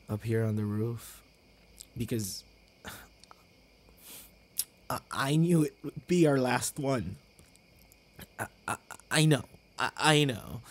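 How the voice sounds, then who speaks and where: A man playing a tender, heartbroken young boyfriend in an intimate ASMR roleplay, with halting, stammering delivery and sighs. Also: medium pitch. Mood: apologetic, sad.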